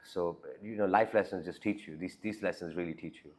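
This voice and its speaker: A young man, raised in the Emirates, chatting openly as an actor guest in an interview, with a bassy voice and many restarts. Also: brisk. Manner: frank, chatty, many restarts and "right?" tags. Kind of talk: casual interview chat.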